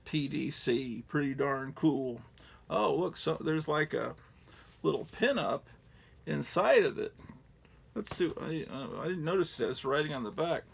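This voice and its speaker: A man's voice: a little high, animated, unhurried. A middle-aged man in a casual, rambling show-and-tell, profane and digressive with a self-mocking streak. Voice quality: gravelly.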